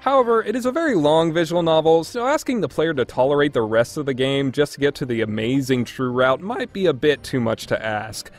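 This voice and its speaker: A man's voice: on the high side, lively intonation, brisk, hardly any pauses, faint music behind. A man delivering a scripted review narration in an opinionated, emphatic way. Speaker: reviewer, list host.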